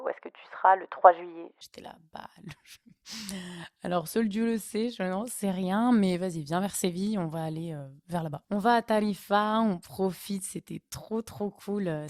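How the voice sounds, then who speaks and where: A woman's voice: medium pitch, darkish tone, no room sound, clean studio sound. A young woman from northern France, casually telling travel stories with chatty, laughing asides. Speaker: vlogger. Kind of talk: casual storytelling.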